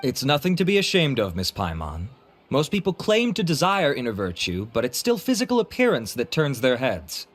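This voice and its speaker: A self-assured researcher who sells beauty products in a video game. His mid-pitched voice moves expressively. He is formal, polished and courteous, with a streak of vanity. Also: wildly swooping pitch, normal speed. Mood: philosophical.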